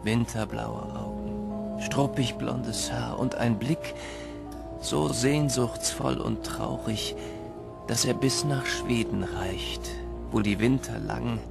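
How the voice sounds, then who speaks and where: A man narrating a dark winter legend as a solemn, dramatic storyteller in an audio play. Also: mid-range voice, slow pace, vocal fry, loud music behind the voice.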